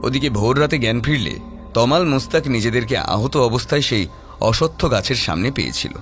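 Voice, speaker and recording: A man serves as narrator of a horror audio story. His voice sits at an average pitch and follows a script, with faint music playing behind. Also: expressive, quick pace.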